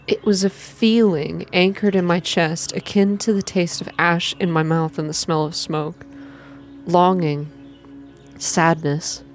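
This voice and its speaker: A woman reading a story aloud in a soft-spoken, measured, atmospheric ASMR style. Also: fairly low pitch. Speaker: reader of a video game story.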